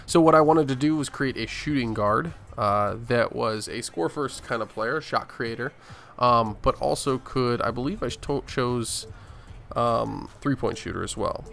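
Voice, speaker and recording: A man's voice: medium pitch, unhurried, creaky, fairly bright tone. An American man from Arizona hosting a gaming video with casual gameplay commentary. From Arizona, United States. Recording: voice-over with faint game music.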